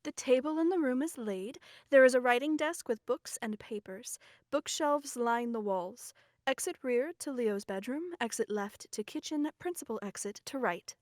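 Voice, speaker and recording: A woman's voice: a little high, normal speed, a little mellow, no echo, clean studio sound, close to an everyday voice. A woman playing an eager novice radio actress who reads stage directions in a polite, careful way. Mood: formal, reading. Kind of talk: theatrical radio play acting.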